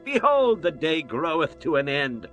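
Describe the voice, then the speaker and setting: A man's voice: a little high, sing-song, unhurried, fairly bright tone, muffled recording, soft background music. An actor playing a genial, insistent biblical father-in-law, entreating in archaic speech in a dramatized scripture reading. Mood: warm entreaty.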